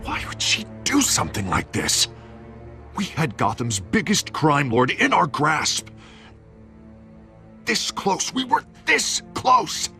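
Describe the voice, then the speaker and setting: An actor playing a frustrated city official who sighs and vents in a video game. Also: male voice, fairly high pitch, huge pitch swings, slow pace, long pauses, heavily acted. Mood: exasperated. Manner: exasperated, sighing. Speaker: politician in a game cutscene. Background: music.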